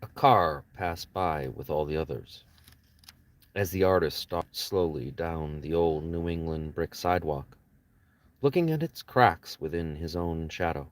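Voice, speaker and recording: Deep-voiced man reading a novel aloud. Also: measured pace. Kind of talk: audiobook narration with casual asides to listeners.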